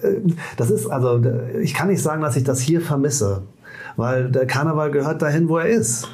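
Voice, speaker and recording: A middle-aged man from the Rhineland speaking as an interview guest in a casual, halting way, often correcting himself. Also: on the high side, a little mellow. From Rhineland, Germany.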